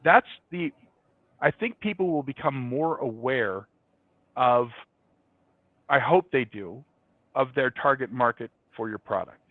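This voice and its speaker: A man's voice: medium pitch, animated, unhurried, long pauses, dry recording. A man giving conversational, explanatory answers as a guest in a business interview. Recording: dull, band-limited, dry sound.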